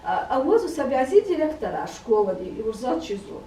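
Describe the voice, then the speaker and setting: A woman's voice: high voice, very animated, mellow, a little hiss or hum. Distant woman speaking in a roomy hall. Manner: halting, many fillers and restarts. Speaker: education researcher. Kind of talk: round-table report. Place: meeting room.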